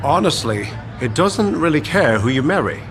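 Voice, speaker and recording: A man's voice: fairly high pitch, big pitch swings, moderate pace, faint music behind. An actor playing a deferential male assistant to a powerful boss in an audio drama. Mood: nervous, pleading.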